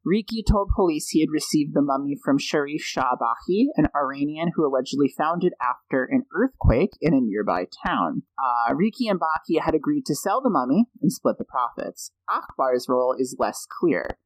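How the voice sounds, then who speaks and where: A man's voice: on the high side, lively intonation, normal speed, hardly any pauses, dry recording, low-quality recording. A man guesting on a podcast, telling a story in a chatty, jokey way and reading quotes aloud. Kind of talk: casual storytelling chat.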